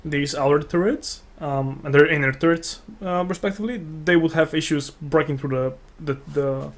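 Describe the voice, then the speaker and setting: A man co-commentating on an esports match in an analytical way, halting and repeating words as he reasons. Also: a little high. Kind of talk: live analytical game commentary.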